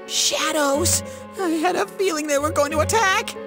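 A performer voicing a timid, childish, excitable bear mascot in a video game, with a squeaky, whiny, stammering delivery. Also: moderate pace, music in the background. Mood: worried.

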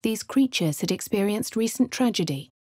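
An actress voicing a posh, wry young adventurer woman with an English accent, reading game lines and narration in a crisp, well-spoken way. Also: medium pitch, lively intonation, moderate pace, dark tone, no room sound, clean studio sound. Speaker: player character voice. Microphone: studio mic, dry.

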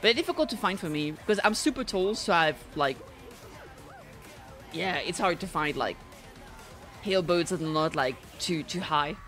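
A young woman streamer giving casual, expressive, joking reaction commentary full of slang. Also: on the low side, moderate pace, long pauses, soft background music.

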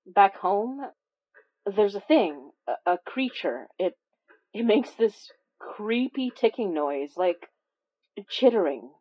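An actress playing an anxious, earnest woman investigator, speaking haltingly in an audio drama. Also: medium pitch, sing-song, no room sound. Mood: subdued, uneasy. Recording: dry, slightly dull close recording.

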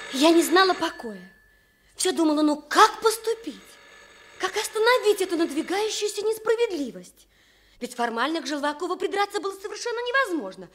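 A woman's voice: very high voice, fairly bright tone, full character voice. An actress playing a principled, impassioned woman journalist, earnest and emphatic in the style of Soviet radio theatre. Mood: earnest recounting.

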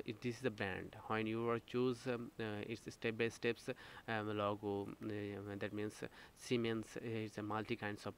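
Low male voice, non-native English speaker, halting repetitive instructor, step-by-step lecture, faint background noise. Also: a bit bright. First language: not English. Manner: halting, repeats himself. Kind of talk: slide lecture, explaining step by step. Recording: noisy home-made screen recording.

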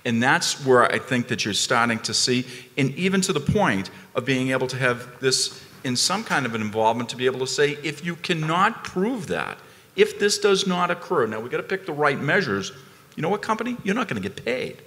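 A man speaking on a conference panel, emphatic and animated, repeating phrases as he builds a point. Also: mid-range voice, a little fast, in a large hall. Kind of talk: panel discussion. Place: conference ballroom stage.

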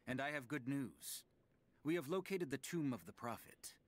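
A man's voice: mid-range voice, animated, moderate pace, lightly acted. An actor playing a zealous medieval knight in a video game, formally reporting to his lord in a solemn, declamatory way. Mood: solemn, resolute. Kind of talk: scripted voice acting for a game document narration.

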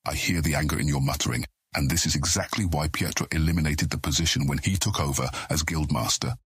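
A calm thieves' guild deputy with an extremely low, heavily rasping voice. He speaks with measured authority in a fantasy audiobook drama, recorded close and dry. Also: no room sound, full character voice. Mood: stern, principled. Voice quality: deep, raspy.

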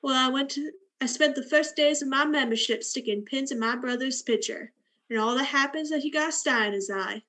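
A woman's voice: high-pitched, brisk, a bit bright. A woman playing a cold, flippant, bitter young woman in a radio play, with a blunt, sardonic delivery.

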